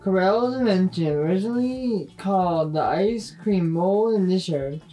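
A woman's voice: lowish voice, mellow. A woman reading a scripted factual segment aloud, recorded a little away from the microphone. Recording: distant mic with faint music.

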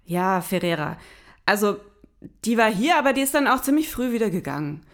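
A woman's voice: medium pitch, animated, fairly quick. A woman hosting a podcast, speaking scripted lines as in an audio play. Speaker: podcast host. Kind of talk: scripted podcast narration and audio drama dialogue.

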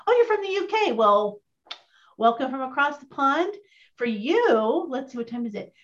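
An upbeat woman runs a casual online training session, chatting with her listeners and tossing them questions. Her voice sits a little high. The sound is muffled and lo-fi, like an online call. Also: sing-song, moderate pace. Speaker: host of an online training chat. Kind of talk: casual webinar hosting. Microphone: video call.

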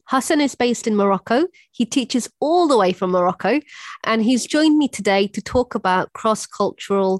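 A woman's voice: mid-range voice, no echo. A woman hosting a podcast, introducing and interviewing in a conversational style. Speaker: podcast host and online school founder.

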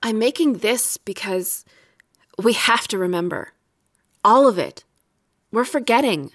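A woman acting a weary, resentful wife in an audio drama, speaking in a measured, pointed way with quiet bitterness. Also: on the high side, expressive. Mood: wistful, insistent.

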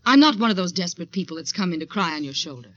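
A woman's voice: fairly low pitch, very animated, rapid-fire, no room sound. An actress playing an ambitious, defiant young woman in advertising who tells her own story in a 1940s radio drama. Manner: dramatic, intense first-person storytelling. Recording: dull 1940s radio recording with music.

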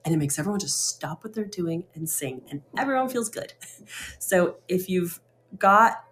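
A woman co-hosting a casual talk show over an internet call, animated and laughing often, with lots of "like" and "you know". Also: lowish voice, moderate pace.

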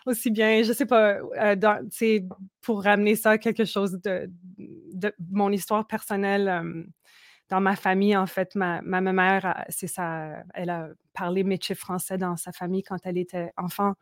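A woman's voice: medium pitch, level tone, normal speed, dry recording. Woman, musician guest, reflective, dry close mic. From Manitoba, Canada. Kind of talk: interview conversation.

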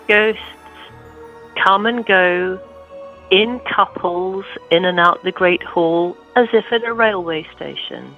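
A woman giving improvised descriptive narration, measured and pausing between phrases. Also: on the low side, faint music behind. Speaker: improv comedy podcast performer. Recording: dull, lo-fi sound.

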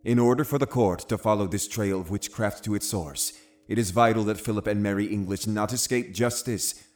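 An actor playing a cunning, zealous Puritan uncle in an audio drama, commanding and condescending with deliberate phrasing. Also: male voice, lowish voice, darkish tone, soft background music, lightly acted. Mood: stern, urgent. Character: cunning, zealous Puritan uncle, a menacing witch-hunting authority. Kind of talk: full-cast audio drama acting.

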